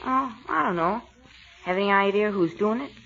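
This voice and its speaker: A woman's voice: medium pitch, lively intonation, normal speed, creaky, fairly bright tone. A woman playing a slangy, bashful but cagey schoolboy in a 1940s radio comedy. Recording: dull 1940s radio recording.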